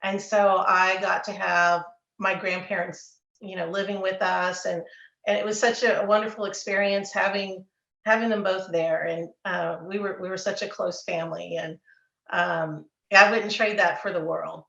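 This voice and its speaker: A woman's voice: medium pitch, steady tone, moderate pace, creaky, a bit bright, roomy sound, lo-fi sound. A middle-aged woman from Texas, an author chatting in an interview and telling stories with repeated words. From Texas, USA. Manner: storytelling, repeats words, chuckles. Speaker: author guest. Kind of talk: casual interview chat.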